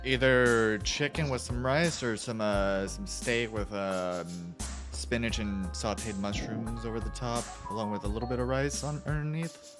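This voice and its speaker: A man's voice: on the low side, unhurried, over music. A man giving brief, laid-back answers as a contestant on a video call. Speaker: bachelor contestant. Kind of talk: casual video call chat.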